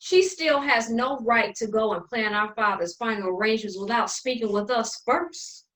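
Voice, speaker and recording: A woman's voice: fairly high pitch, moderate pace, fairly bright tone, natural voice. A woman playing an exasperated, sarcastic eldest sister, bossy and condescending with her endearments, in a dramatic play reading. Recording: distant from the microphone.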